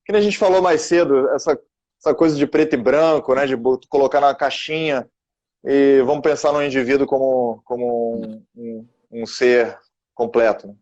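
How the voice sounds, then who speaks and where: A man's voice: mid-range voice, fairly even intonation, moderate pace, a little mellow, muffled recording. A man hosting a casual interview, chatty and hesitant with filler words. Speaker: podcast host.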